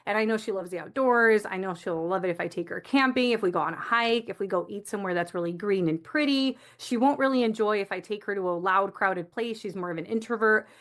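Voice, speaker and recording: A woman's voice: mid-range voice, brisk, few pauses. A woman from the United States speaking as an interview guest, explaining things reflectively in a conversational way. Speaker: guest, coach for first-generation community.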